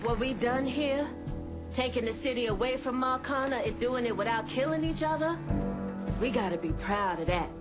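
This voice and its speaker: Tough gangland woman actress, fairly high voice, assertive and shrewd game drama, clear music behind. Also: moderate pace, lightly acted. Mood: frank, grateful. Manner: assertive, frank. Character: confident, shrewd, tough female crime underboss. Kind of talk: dramatic game cutscene acting.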